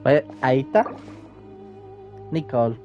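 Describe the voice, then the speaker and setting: A man's voice: medium pitch, animated, a little slow. Offhand man commentating, dull microphone. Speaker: gaming streamer. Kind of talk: casual Spanish-language gameplay commentary. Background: game music.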